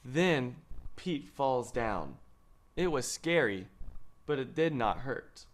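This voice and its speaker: Slow, lively young man reading aloud. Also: medium pitch. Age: young adult. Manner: measured, expressive storytelling with lifted quoted lines. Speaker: read-aloud host. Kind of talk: children's picture book read-aloud. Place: indoor studio.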